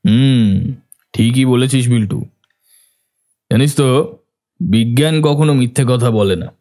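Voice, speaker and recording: A man playing a calm, astute detective mentor in a cartoon, explaining things in a measured, deliberate way. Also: mid-range voice, natural voice. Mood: grave, reflective. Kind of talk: Bengali cartoon detective dialogue.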